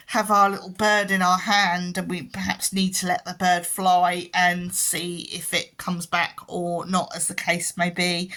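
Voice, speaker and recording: A woman giving a pick-a-card tarot reading in a gentle, halting way, full of ums and restarts. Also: lowish voice. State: croaky voice and yawning. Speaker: tarot reader.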